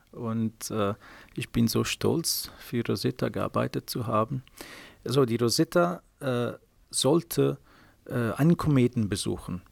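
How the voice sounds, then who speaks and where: A man's voice: medium pitch, vocal fry, dry recording. A middle-aged Italian man with an Italian accent, answering interview questions in hesitant German full of filler sounds. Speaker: space mission software engineer. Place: office at a space operations centre.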